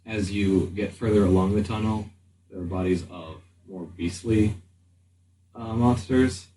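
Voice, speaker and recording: A man acting as game master, narrating a tabletop game in an improvised, halting way as he thinks aloud. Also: fairly low pitch. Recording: slightly distant mic, faint room noise.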